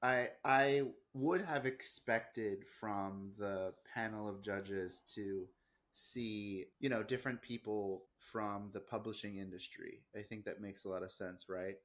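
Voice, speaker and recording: An American man co-hosting a casual podcast discussion, speaking in a thoughtful, measured and earnest way. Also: on the low side, steady tone, fairly bright tone. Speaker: podcast co-host.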